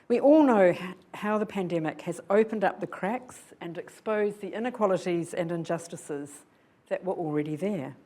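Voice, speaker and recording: A woman's voice: lowish voice, a little mellow. An older woman from New Zealand giving an earnest, reflective public lecture, often posing rhetorical questions to her audience. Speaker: guest lecturer. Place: lecture hall.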